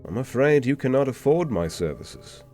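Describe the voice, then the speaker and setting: A man playing a refined, polite, world-weary information dealer with theatrical, hesitant pauses in a fantasy audio roleplay. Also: mid-range voice, very animated, a little mellow, soft background music, lightly acted. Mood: apologetic, sympathetic.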